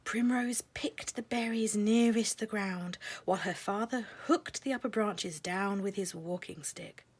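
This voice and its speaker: A woman narrating a children's storybook in a measured, expressive way, letting phrases end softly. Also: medium pitch, slightly rough.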